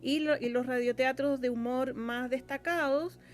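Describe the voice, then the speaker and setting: High-voiced Chilean woman chatting as a guest. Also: fairly even intonation. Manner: halting, rephrasing often, seeking agreement. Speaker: guest, radio drama scriptwriter. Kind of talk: casual interview talk.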